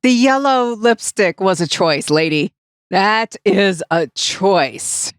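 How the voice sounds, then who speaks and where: A woman's voice: mid-range voice, slow, clear, resonant tone, dry recording, clean studio sound. A woman co-hosting a podcast, giving wry, opinionated takes in a casual review chat. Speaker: podcast co-host. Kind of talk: casual review discussion of a cartoon.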